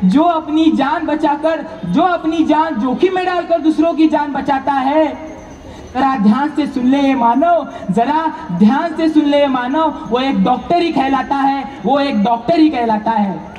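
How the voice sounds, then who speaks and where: A man playing a beaten but dutiful doctor in a stage drama, pleading in loud, declamatory, repetitive phrases. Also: very high-pitched, unhurried. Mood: resolute. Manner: declamatory, repeats phrases for emphasis. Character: beaten, anguished yet dutiful male doctor, pleading to society. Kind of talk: loud theatrical stage monologue. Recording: echoey hall from far off, noisy.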